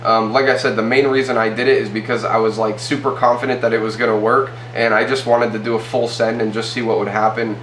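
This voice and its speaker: A man's voice: lowish voice, level tone, fairly bright tone. Young guy w a stuffy nose chatting to cam, kinda roomy sound, little hum under it. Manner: fast, loose, filler-heavy talk. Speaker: e-commerce YouTuber. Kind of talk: casual to-camera update. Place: small room indoors.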